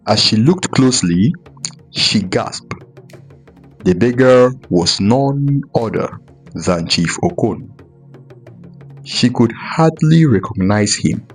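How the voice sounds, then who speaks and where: Guy narrating a folktale, real slow and dramatic, voice goes up and down a lot, bit of music under it. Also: mid-range voice. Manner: measured, deliberate, dramatic pauses. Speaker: storyteller narrator. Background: background music.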